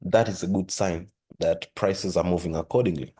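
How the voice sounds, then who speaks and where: A man hosting a trading lesson, talking steadily through charts with a hoarse voice and frequent throat-clearing. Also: deep voice, moderate pace. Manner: steady explanatory, repeats "with that being said". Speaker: forex trading channel host. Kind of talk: screen-share trading lesson.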